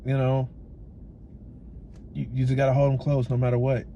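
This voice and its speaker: A man's voice: mid-range voice, completely flat delivery, moderate pace, mellow, lo-fi sound, slight background noise. Southern-accented man, laid-back host. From Texas. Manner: laid-back, emphatic, repeats phrases. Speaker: podcast host. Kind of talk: casual interview chat.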